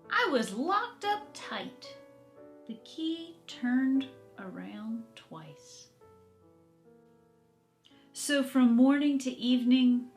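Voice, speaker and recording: Lady reading as a dreamy housecat longing to be free, kids storybook, slow dramatic telling, soft music behind. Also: high voice, full character voice. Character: longing, captive housecat narrating a children's storybook.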